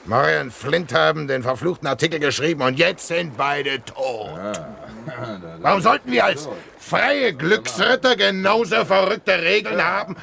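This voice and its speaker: Nasty swaggering pirate captain, gravelly, kinda high, rowdy crew around him, radio drama. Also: male voice, animated, moderate pace, a crowd in the background. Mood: blustering, defiant. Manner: menacing, domineering. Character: brutal, lecherous, swaggering male pirate captain. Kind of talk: German radio play acting.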